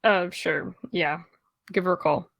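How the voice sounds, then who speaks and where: A woman's voice: lowish voice, fairly even intonation, unhurried, vocal fry, no echo, highs cut off. An actress playing a guarded, hungover teenage girl, dry, hesitant and defensive, in a naturalistic audio drama. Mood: jealous.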